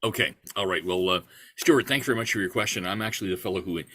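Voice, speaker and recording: Man, very deep voice, bright and husky, rambling explanation, video call. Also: quick pace. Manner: rambling, frequent restarts. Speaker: award creator, club volunteer. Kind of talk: online meeting answer.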